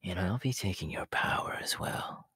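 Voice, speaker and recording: A man voicing a sinister, taunting male snake villain in an ASMR roleplay, with a breathy, raspy whisper and slow, gloating delivery, close to the mic. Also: low voice, bright, forceful tone, no echo, heavily acted. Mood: possessive menace.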